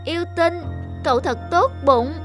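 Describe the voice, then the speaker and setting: An earnest little schoolboy in a cartoon, with a very high voice. He sounds kind and sincere, often pleading, with music behind him. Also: moderate pace. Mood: friendly, curious. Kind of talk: Vietnamese cartoon voice acting.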